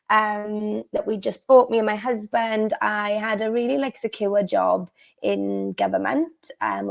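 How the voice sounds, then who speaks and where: A woman's voice: medium pitch, vocal fry. A woman from South Wales with a Welsh accent, telling her story as a guest in a casual interview, full of "like" and "um" fillers. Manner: chatty, many "like" and "um" fillers. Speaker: life coach guest.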